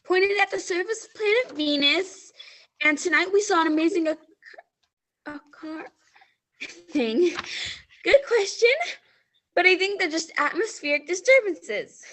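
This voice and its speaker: Brief: a young woman as a muddled astronomer, very high, bouncy, slow-talking. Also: fairly bright tone. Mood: flustered. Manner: flustered, losing her lines, giggly. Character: flustered veteran female astronomer, clueless. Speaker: student actor. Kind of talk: stage radio-play acting.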